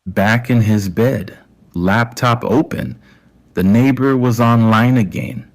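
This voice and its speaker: A man narrating scripted dramatic fiction as an audio story, in short clipped phrases with deliberate pauses. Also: mid-range voice.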